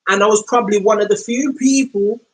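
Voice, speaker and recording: A woman podcast host with a London accent talks in a loose, slangy, conversational way. She tells her story as a casual monologue. When she quotes someone, she slows down and speaks with deliberate weight. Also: medium pitch, steady tone, low-quality recording. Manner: conversational, slangy, acts out quoted speech in slow, deliberate tones.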